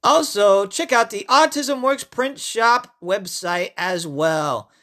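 A podcast host reading a horror story and promotional notes in a halting way, repeating phrases. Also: mid-range voice, lively intonation, pushed and bright.

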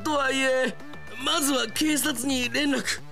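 An actor playing an earnest, excitable middle-aged park manager who talks to himself aloud in a Japanese radio drama. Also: male voice, very high voice, slow, gravelly, soft background music, full character voice. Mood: alarmed. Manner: expressive, talking to himself aloud.

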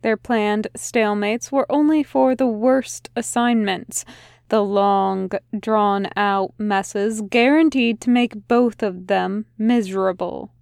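A woman reading a fan fiction story aloud in a soft, unhurried narration. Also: mid-range voice, steady tone, gravelly, smooth, dry recording, clean studio sound. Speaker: podfic reader.

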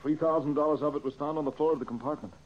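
An actor playing an earnest, urgent amateur detective in an old radio mystery, speaking with pressing concern. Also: male voice, a little high, steady tone, rapid-fire, lightly acted. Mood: grave, concerned. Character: earnest, urgent male amateur detective protecting his friend. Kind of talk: 1940s radio mystery acting. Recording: muffled old radio, faint noise.

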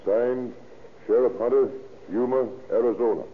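A man's voice: medium pitch, steady tone, normal speed, close to an everyday voice. An actor playing a formal, terse Western lawman in an old radio crime drama. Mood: official. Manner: terse, official. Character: low-voiced, formal male Western lawman. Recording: tinny, noisy old radio recording.

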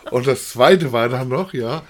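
A man's voice: mid-range voice, a little slow. A man hosting an unscripted, casual review chat, feeling his way through sentences with some repetition. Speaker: podcast co-host reviewing audio dramas.

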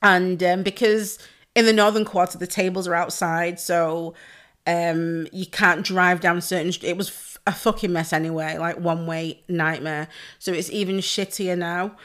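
Solo podcast host, woman, hoarse, slightly low voice, sweary and chatty. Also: fairly even intonation, moderate pace, a bit bright. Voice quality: chatty, sweary, laughs often. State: hoarse, losing her voice. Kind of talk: casual solo podcast chat.

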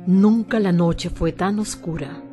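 A woman's voice: fairly low pitch, slow, over music. A woman narrating a scripted radio drama in a solemn, melodramatic manner.

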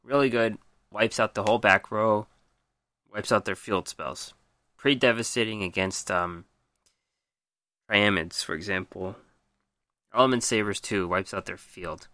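A man hosting a podcast, reviewing game cards in a casual way with a hoarse, worn voice, reading out stats and then commenting with frequent ums. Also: lowish voice, measured pace, long pauses, bright, forceful tone, no room sound. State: losing his voice. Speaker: podcast host.

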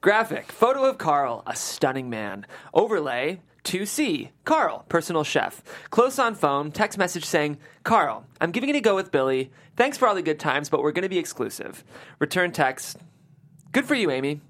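A woman reading a comedy script's stage directions aloud at a table read, with expressive, emphatic delivery. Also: sits low, moderate pace.

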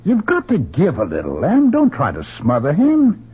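A man's voice: on the high side, huge pitch swings, normal speed, slightly rough, heavily acted. An actor playing a weary, irritable academic husband and worried father in an old-time radio drama. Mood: uneasy, reproachful. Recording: tinny, band-limited old radio broadcast.

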